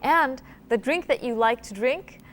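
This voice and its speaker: A woman's voice: sits high, measured pace, fairly bright tone, a little hiss or hum. A woman hosting a TV quiz show, chatty and upbeat, laughing easily with guests. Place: TV studio.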